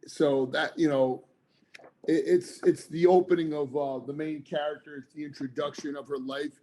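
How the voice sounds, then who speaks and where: A man's voice: on the high side, fairly even intonation, moderate pace, dark tone, poor audio. Earnest man. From New Jersey, United States. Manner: earnest, rambling, frequent fillers. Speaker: author guest, former police officer. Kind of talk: casual interview chat.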